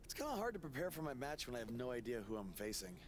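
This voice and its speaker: An actor playing a cocky, bro-ish young indie wrestler in a video game. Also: male voice, mid-range voice, big pitch swings, fast talker, lightly acted. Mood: uneasy. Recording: noisy, commentary over game audio.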